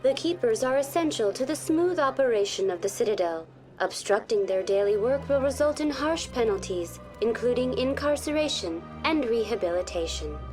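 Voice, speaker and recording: An actress playing a polite virtual tour guide program on a space station, speaking in formal, measured informative narration for a video game. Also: female voice, a little high, animated, over music, close to an everyday voice. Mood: polite, neutral.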